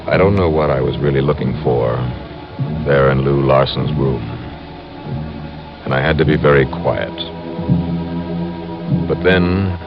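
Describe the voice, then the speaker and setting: An actor playing a calm, suspicious investigator with a clipped, measured delivery, narrating in vintage radio detective style. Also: male voice, very deep voice, creaky, heavily acted. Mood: brooding, suspicious. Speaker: lead character and narrator. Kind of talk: 1940s-50s radio detective acting. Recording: dull old radio recording, loud music.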